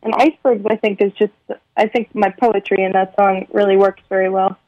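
Brief: young woman songwriter, measured and hesitant, dry muffled remote audio. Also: mid-range voice, fairly even intonation, no room sound. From San Fernando Valley, California. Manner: soft-spoken, thoughtful, hesitant with many ums. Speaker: singer-songwriter guest. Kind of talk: remote interview.

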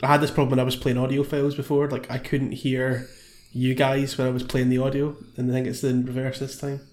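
A man's voice: medium pitch, monotone, brisk, a little mellow, a little hiss or hum. A man chatting casually as a quiz panellist, joking and swearing. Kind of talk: casual banter.

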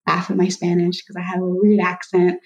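A young woman, a guest, speaking hesitantly and softly, with many likes. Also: on the low side, flat delivery, a little fast, dull audio. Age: young adult. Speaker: guest, daughter of an adoptee. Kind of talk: reflective conversation.

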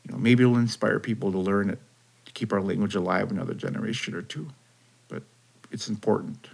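A man interviewed about his grandfather, speaking earnestly with some hesitation and trailing off softly. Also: fairly low pitch, steady tone, a little fast, gravelly, husky. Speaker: interviewee, grandson of a code talker. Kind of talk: interview testimony.

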